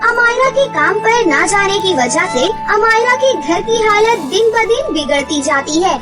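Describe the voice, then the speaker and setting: A woman's voice: very high-pitched, lively intonation, a little slow. A woman narrating a children's story in a dramatic, scripted storytelling style. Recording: music under the voice. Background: background music.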